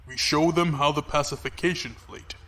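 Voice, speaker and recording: A man playing a cold, composed imperial commodore in a science fiction audio drama, formal and deliberate. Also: a little high, steady tone, fairly bright tone. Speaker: fleet commander. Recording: noisy ship-like ambience behind.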